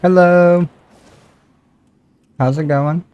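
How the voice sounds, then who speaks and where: A man's voice: high voice, fairly even intonation, drawn-out words, dull audio. A man livestreaming a game, chatting casually with viewers in a chummy, slangy way. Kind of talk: casual game stream chat.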